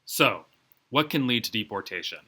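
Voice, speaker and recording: A man presenting an educational video in a scripted, measured, explanatory way. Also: mid-range voice, lively intonation, pushed and bright. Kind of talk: scripted informational narration.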